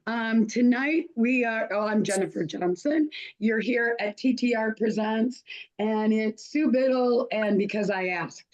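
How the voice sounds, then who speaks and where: A woman with a hoarse voice hosting an online show, chatty and casual, often losing her place and starting over. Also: a little high, measured pace, a little mellow, dull audio. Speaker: host.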